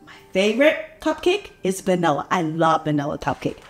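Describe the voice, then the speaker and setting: A woman reading a picture book aloud to small children in an animated, encouraging, exaggerated way, inviting them to join in. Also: fairly low pitch, normal speed, reverberant, faint music behind. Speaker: read-aloud storyteller for young children. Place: small furnished indoor room.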